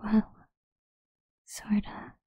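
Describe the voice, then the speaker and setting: A woman playing a possessive, seductive goth vampire idol in an intimate ASMR roleplay, breathy and husky, often whispering, slow and teasing, close on the mic. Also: warm tone, no room sound. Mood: coaxing, seductive. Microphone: close studio-style microphone, dry.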